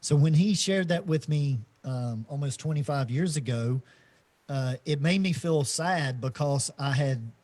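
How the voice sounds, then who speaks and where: A middle-aged man co-hosting a podcast, talking slowly and reflectively in a casual spiritual chat, with many fillers and restarts. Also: on the high side, darkish tone. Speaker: podcast co-host.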